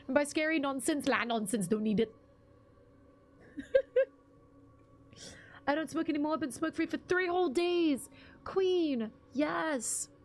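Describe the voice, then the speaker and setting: A young woman with a husky voice, chatting casually with viewers on a game stream, rambling and restarting her sentences. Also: sits high, measured pace, pauses often. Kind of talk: casual live-stream chat reading viewer comments.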